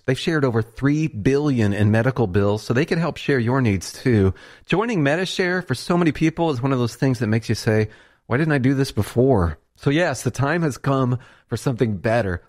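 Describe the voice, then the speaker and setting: A male ad announcer with an even, mid-range voice, talking earnestly and conversationally as he makes his pitch to the listener. The delivery is steady and persuasive. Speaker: commercial announcer. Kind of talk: read radio ad.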